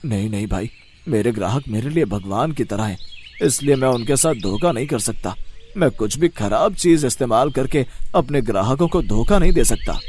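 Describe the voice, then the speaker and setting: A man's voice: medium pitch, lively intonation, normal speed, darkish tone, slight background noise, close to an everyday voice. Man acting a downcast, pleading poor sweet seller. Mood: earnest. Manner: pleading, earnest. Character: humble, honest, downcast poor jalebi seller. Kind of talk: Hindi moral-story cartoon dialogue.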